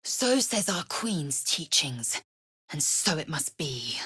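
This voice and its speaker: Proud fierce alien soldier lady for a video game, raspy, curt and haughty, super dry close sound. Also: low voice, big pitch swings, takes it slowly, bright, forceful tone, no room sound, heavily acted. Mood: devout, solemn. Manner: haughty, curt, imperious, clipped formal diction. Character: fierce, proud alien warrior woman, militant and scornful.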